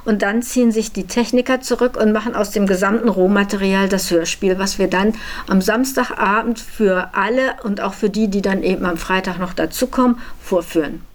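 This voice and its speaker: A woman's voice: mid-range voice, moderate pace. A woman who helps run a workshop, explaining how it works in interview style. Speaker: workshop organizer.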